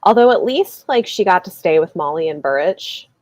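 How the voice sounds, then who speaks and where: A woman chatting casually about books on a livestream, animated and informal, halting as she thinks aloud. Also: fairly low pitch, no room sound. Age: adult, married with children. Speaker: book discussion host. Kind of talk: casual book chat on a livestream.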